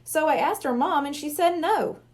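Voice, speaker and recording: A woman's voice: high voice, moderate pace, darkish tone, pitched above the performer's own voice, close to an everyday voice. A woman reading a storybook as a stubborn, carefree young boy with an offhand, defensive way of talking.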